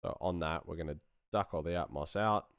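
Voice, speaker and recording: A man's voice: sits low, brisk, clear, resonant tone, no room sound, studio quality. A man giving a casual live-stream tutorial as a sound designer, thinking aloud while he works.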